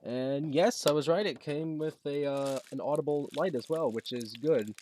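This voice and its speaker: A young American man chatting casually through a home unboxing video, halting and rambling as he goes. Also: on the high side, mellow, a little hiss or hum. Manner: halting, rambling, reads box text aloud. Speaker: video creator showing a gadget. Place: basement room at home.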